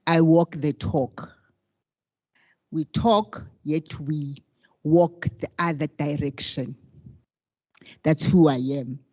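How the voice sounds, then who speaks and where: An older South African woman activist with a very deep voice, introducing herself on a panel in a slow, rousing, declamatory way. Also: darkish tone, no echo. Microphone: handheld microphone. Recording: dull lo-fi sound.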